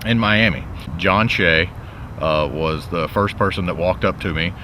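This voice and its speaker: A man speaking in an interview in a relaxed, conversational way. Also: deep voice, unhurried, noisy background. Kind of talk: confessional interview. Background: background music.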